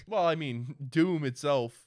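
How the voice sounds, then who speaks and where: A man's voice: on the high side, slow, a little mellow, dry recording, clean studio sound. A man co-hosting a casual debate, talking informally and swearing as he makes his points. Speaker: podcast co-host.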